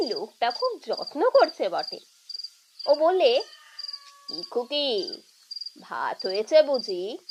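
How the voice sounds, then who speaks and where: A woman's voice: sits high, background noise. A woman reading a literary short story as scripted audiobook narration. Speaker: storyteller reading for own channel. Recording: music behind the voice. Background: background music.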